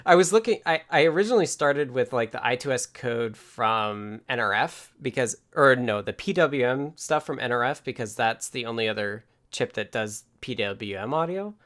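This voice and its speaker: A man speaks as the host of a technical livestream, in a voice of middling pitch. His talk is casual and informal, as if he is working through his thoughts aloud while he explains. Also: expressive, moderate pace, hardly any pauses, a bit bright.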